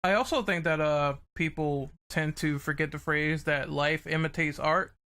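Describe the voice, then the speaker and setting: Guy hosting a podcast chat, kinda high crisp voice, informal and opinionated. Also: steady tone, moderate pace, bright, forceful tone. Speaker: podcast host. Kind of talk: casual panel discussion.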